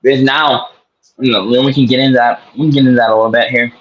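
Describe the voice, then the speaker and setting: A man co-hosting a casual sports talk show, chatting in an animated, joking way. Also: medium pitch, fast, lo-fi sound. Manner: animated, slips into a mock New York accent. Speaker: co-host.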